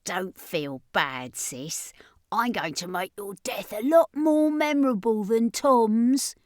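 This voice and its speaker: A woman's voice: mid-range voice, a little mellow, no echo, studio quality, natural voice. Woman voicing a whispery, taunting male vampire. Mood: menacing, mocking. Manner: slow, drawn-out taunting.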